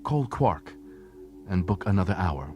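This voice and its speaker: A man's voice: low-pitched, very animated, a little slow, darkish tone, soft background music. A man voicing a bold, teasing woman warrior in an audiobook, playful and challenging. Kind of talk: audiobook character acting.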